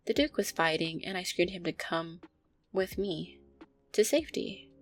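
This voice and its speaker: A woman's voice: on the low side, animated, measured pace, soft background music. A woman playing an affectionate, easily flustered, centuries-old vampire girlfriend, talking intimately and hesitantly to her listener in an audio roleplay. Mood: earnest, reminiscing.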